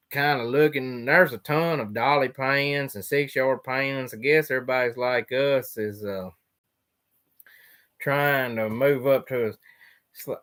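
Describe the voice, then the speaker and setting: A man, a farmer, talking in an easy drawl at a middling pitch. He speaks plainly, restarts his sentences and lets them trail off as he answers viewers' questions on a livestream. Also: bright, forceful tone. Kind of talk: casual chat reading viewer questions.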